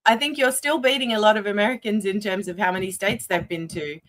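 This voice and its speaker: A woman's voice: mid-range voice, steady tone, fast, a little hiss or hum. A middle-aged woman with an Australian accent, talking reflectively and candidly as a guest in a conversational interview. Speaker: podcast guest.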